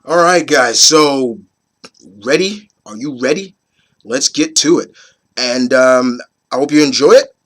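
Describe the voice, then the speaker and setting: A hoarse man in his thirties, getting over a cold, hosting his opinion video in a rambling, casual way with many fillers and restarts. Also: medium pitch, a little slow. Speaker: host of an opinion video series. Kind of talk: casual chat to camera.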